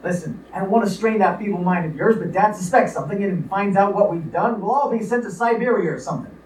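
An exasperated, scheming young newlywed son, played by an actor in a comic radio play. The delivery is urgent and wry at a middling pitch. It is picked up from a distance on an echoey, slightly noisy stage. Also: quick pace, a little mellow, natural voice. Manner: urgent, pleading, sarcastic. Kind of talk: old-fashioned comic radio play acting. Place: live radio play performance in a room.

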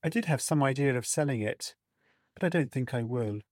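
Guy doing a gruff serious army sergeant major for a story, middle aged, solemn, mid pitch, dry sound. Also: darkish tone, dry recording, natural voice. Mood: brooding, reluctant. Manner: grave, slow, solemn warnings. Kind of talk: dramatised storybook character reading.

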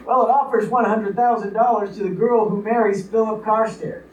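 A man's voice: sits high, fairly even intonation, normal speed, darkish tone, lo-fi sound, close to an everyday voice. Man as sarcastic playboy son, distant and echoey. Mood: flustered. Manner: exclaiming, theatrical, sarcastic. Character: indignant, sarcastic playboy son of a wealthy father. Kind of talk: old-time radio comedy acting. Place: live on stage in a small theater. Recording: distant built-in recorder mics, echoey, faint noise.

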